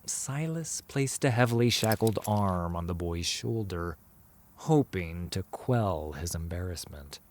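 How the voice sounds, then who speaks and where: Low, unhurried male story narrator. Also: lively intonation, darkish tone.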